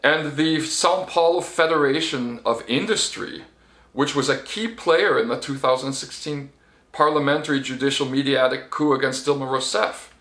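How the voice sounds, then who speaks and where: A man's voice: medium pitch, moderate pace, a bit bright. A middle-aged man, a journalist giving a casual spoken report, talking conversationally with many fillers and restarts. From United States. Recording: echoey room.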